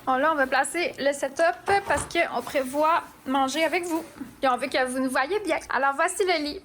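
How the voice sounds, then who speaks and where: A high-pitched young woman with a Québécois accent chats to her camera in a playful travel vlog. Faint noise hums under her voice. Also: moderate pace, fairly bright tone. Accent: Québécois French. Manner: chatty and playful, lots of fillers. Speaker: vlogger. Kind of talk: casual vlog narration. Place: hotel room and shops on a trip.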